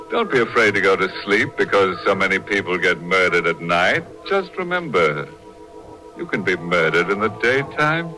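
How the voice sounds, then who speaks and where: Macabre radio host, 1940s style: sardonic, lowish, very animated, mock-polite, over music. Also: male voice, exaggerated voice, poor audio. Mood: wry, ghoulish. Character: sardonic, ghoulish horror show host with mock-friendly temper. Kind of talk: 1940s radio horror hosting.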